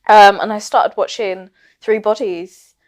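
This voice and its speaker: A woman's voice: mid-range voice, flat delivery, a little slow. A woman in casual podcast chat about film and TV, with a teasing, slangy way of talking. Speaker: podcast co-host.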